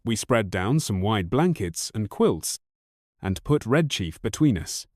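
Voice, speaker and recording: A man narrating an audiobook story in a wry, expressive first-person manner, recorded close on a studio mic. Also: on the low side, a little slow, no echo.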